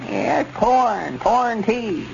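High old southern country codger, puts on airs, folksy, hissy old radio comedy. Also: male voice, lively intonation, takes it slowly, gravelly, darkish tone, heavily acted. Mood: smug. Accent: rural Southern American. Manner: folksy, drawling, ungrammatical. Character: boastful elderly rustic man putting on society airs. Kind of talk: 1930s radio comedy acting. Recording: dull, noisy 1930s radio recording.

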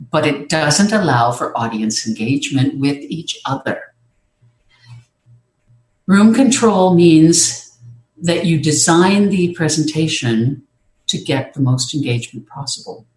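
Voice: mid-range voice, animated. Roomy-sounding speaking coach explaining steadily. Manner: measured, explanatory, occasional hesitations. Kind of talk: instructive talk on presenting. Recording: roomy echo.

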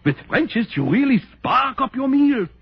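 A man playing an effusive food-loving cook in a comic radio advertisement, with a raspy voice and theatrical manner. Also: extremely high pitch, big pitch swings, measured pace, no room sound, heavily acted. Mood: gushing. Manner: gushing, theatrical, chuckling. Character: effusive, food-loving old-time cook pitching seasoning. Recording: muffled old radio broadcast.

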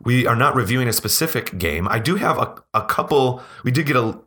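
A man hosting a podcast, talking casually and laughing often, his voice hoarse as if he is losing it. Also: medium pitch, expressive, fairly quick, pushed and bright. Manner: chatty, laughing, rambling restarts. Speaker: podcast host.

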